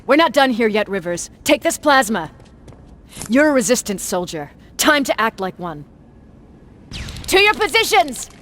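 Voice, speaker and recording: A female battle commander in a sci-fi game, terse and in charge. Her voice is fairly high and swings widely in pitch, with faint noise behind. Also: very animated, unhurried, pauses often, fairly bright tone. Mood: commanding. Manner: authoritative, terse. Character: brisk, commanding female resistance military commander. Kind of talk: video game voice acting.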